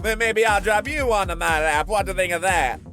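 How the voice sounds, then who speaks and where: An actor playing a cocky celebrity high roller with a brash, showy swagger in a visual novel. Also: male voice, a little fast, music in the background, close to an everyday voice. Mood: cocky, boastful.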